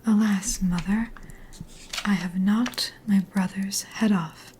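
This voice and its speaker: A woman storyteller voicing an innocent, anxious little daughter in a hushed, breathy, plaintive whisper. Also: mid-range voice, fairly even intonation, takes it slowly, a little hiss or hum, lightly acted, rough recording. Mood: frightened.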